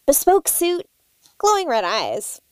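A woman's voice: high voice, huge pitch swings, takes it slowly, dry recording. A woman in a comedic audio sketch, talking in a bubbly, cheerfully oblivious way. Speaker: cast member.

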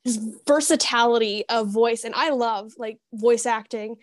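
Casting: female podcast guest, higher-pitched, dry close sound, expressive, enthusiastic casual talk. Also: measured pace, no room sound. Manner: gushing, expressive.

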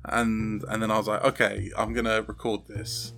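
A man talking casually as a podcast guest, halting and repeating words as he thinks. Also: on the low side, level tone, gravelly, fairly bright tone, soft background music. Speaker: podcast guest, audio fiction creator. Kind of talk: casual chat.

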